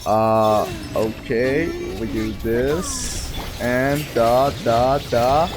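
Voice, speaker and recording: A man's voice: mid-range voice, expressive, drawn-out words, music in the background. A man playing a video game and thinking aloud in casual commentary, self-mocking and given to exclamations and muttering. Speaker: gaming streamer, player.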